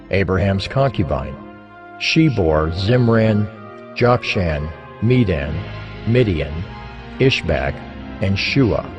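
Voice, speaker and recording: A man reading scripture as scripted, dramatized narration, in a solemn, measured manner with deliberate pauses. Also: fairly low pitch. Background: background music.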